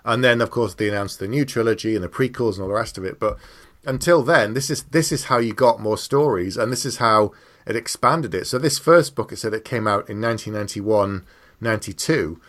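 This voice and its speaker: A man's voice: fairly low pitch, fairly quick, a bit bright. A middle-aged man hosting a podcast in a casual, explanatory way, sometimes acting out imagined remarks. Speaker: podcast host. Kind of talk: casual chat about books and films.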